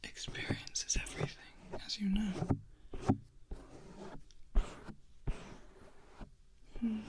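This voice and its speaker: Woman doing a whispery vampire lady for asmr, doting but scolding, looks down on humans, soft n breathy, kinda formal. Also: medium pitch, natural voice, rough recording. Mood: gently chiding. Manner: slow, formal, gently scolding. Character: possessive female vampire, scornful of humans, doting. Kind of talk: soft-spoken ASMR roleplay.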